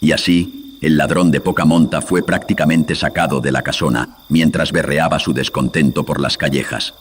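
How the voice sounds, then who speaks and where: A man's voice: rumbling low, fairly even intonation, normal speed, slight background noise. A man narrating a scripted horror legend in a solemn storytelling manner, his voice processed with filters. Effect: processed with audio filters.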